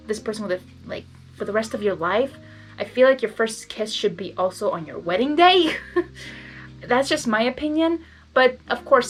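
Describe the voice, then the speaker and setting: A woman reading fan fiction aloud and breaking off to give her own reactions, often stumbling over words and interrupting herself. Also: mid-range voice. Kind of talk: blind reading with casual commentary. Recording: background music under the voice.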